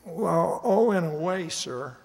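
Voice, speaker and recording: Man playing slow, creaky, timid night guard. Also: on the high side, animated, big-room sound, heavily acted, rough recording. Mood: nervous. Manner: hesitant, stammering, folksy. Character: timid, amiable night security guard named Charlie. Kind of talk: radio drama acting and narration.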